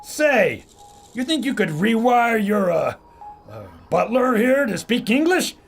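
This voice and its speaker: Man as a high, gravelly wisecracking flyboy. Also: sing-song, slow, a little hiss or hum, exaggerated voice. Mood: wary, flustered. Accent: American. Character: brash, suspicious wisecracking male American fighter pilot. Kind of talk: old-time radio adventure acting.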